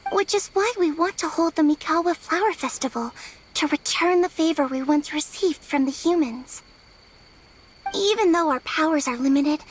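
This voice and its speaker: A woman voicing an earnest young female yokai in a video game dub, speaking in a measured, sincere way. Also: high-pitched, slight background noise, lightly acted.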